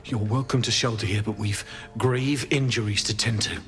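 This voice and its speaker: An actor playing a guarded, devout, solemn holy warrior in a video game, speaking earnestly and formally. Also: male voice, lowish voice, steady tone, normal speed, fairly bright tone, soft background music, close to an everyday voice. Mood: wary warning. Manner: earnest, urgent, formal warning. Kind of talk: video game character acting.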